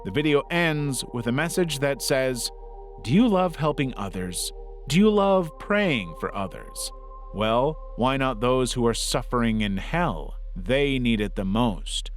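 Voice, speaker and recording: Male video essayist, lively pitch, background music. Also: measured pace. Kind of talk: scripted documentary narration.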